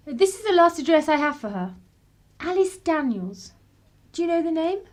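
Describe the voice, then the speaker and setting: A woman's voice: extremely high pitch, very animated, normal speed. An actress playing a polite, chatty, inquisitive English woman visitor in a radio drama, with a genteel manner and an English accent. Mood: apologetic.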